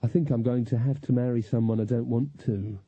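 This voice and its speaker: A man's voice: medium pitch, fairly even intonation, fairly quick, slightly rough. A man voicing a resigned, bitter young woman who speaks in a guarded way, in an audiobook story. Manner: gloomy, guarded. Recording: echoey, narrow phone-like audio.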